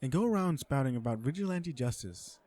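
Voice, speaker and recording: A man's voice: mid-range voice, expressive, a little fast, mellow, close to an everyday voice. A man playing a self-assured, flirtatious businessman supervillain in a romantic comedy audio roleplay, talking to the listener in a sardonic, conversational way. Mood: smug, exasperated. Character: cocky, flirtatious businessman supervillain on a blind date.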